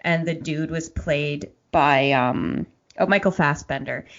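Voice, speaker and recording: A woman's voice: deep voice, slow, dull audio. A woman hosting a casual interview podcast, speaking in an informal, enthusiastic way. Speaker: podcast host.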